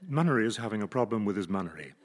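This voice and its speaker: A man playing a formal, deadpan radio announcer narrating scripted comedy. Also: on the low side, rapid-fire, slight background noise, natural voice.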